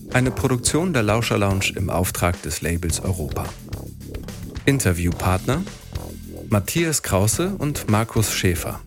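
A man reading a scripted announcement and production credits as an announcer. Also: on the low side.

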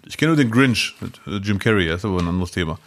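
A man in his thirties co-hosting a casual podcast, telling stories in a lively, jokey way. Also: low-pitched, very fast. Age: 30s. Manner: animated storyteller, lively and jokey. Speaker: podcast co-host.

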